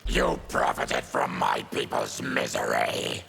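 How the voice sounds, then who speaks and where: A man voicing a savage, diseased mutant warrior and bitter former soldier in a video game, with a gravelly, menacing, forceful growl. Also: very deep voice, huge pitch swings, takes it slowly, faint music behind, full character voice. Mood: vengeful. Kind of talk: video game fighting character dialogue.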